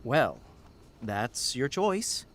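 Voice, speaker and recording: An actor playing an earnest, measured government relief official in a 1930s-set radio drama, sympathetic and a little folksy. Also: male voice, medium pitch, big pitch swings, a bit bright, full character voice. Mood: earnest, reassuring. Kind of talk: period radio drama dialogue.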